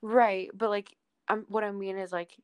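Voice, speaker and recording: A woman hosting a casual podcast chat about a TV show, informal and animated in her reactions. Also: medium pitch, normal speed, smooth, no echo, clean studio sound. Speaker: podcast host.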